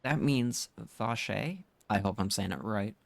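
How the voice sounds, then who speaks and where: A woman's voice: very deep voice, animated, a little slow, gravelly, no echo. A woman game streamer reading dialogue aloud and reacting casually as she plays. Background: game music.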